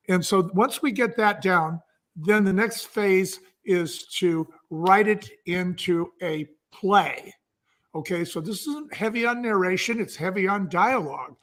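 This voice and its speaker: High-pitched man explaining step by step. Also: a bit bright. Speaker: entrepreneur, interview guest.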